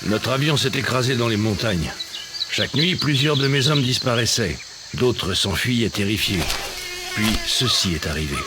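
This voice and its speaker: A man's voice: medium pitch, animated, moderate pace, heavy background noise, lightly acted. An actor playing a wary, haunted male crash survivor in a video game, speaking.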